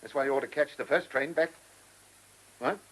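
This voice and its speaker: A man's voice: mid-range voice, moderate pace, fairly bright tone, poor audio. An actor playing an irritable, shrewd male chief inspector in a radio play, brusque and commanding, given to muttered asides. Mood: exasperated.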